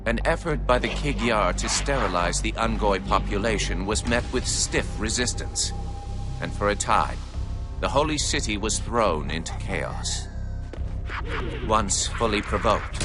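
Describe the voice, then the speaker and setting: A man's voice: medium pitch, lightly acted. An actor voicing a solemn alien male warrior-elder who recounts his people's history in a video game. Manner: solemn, measured storytelling. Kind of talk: video game cutscene narration.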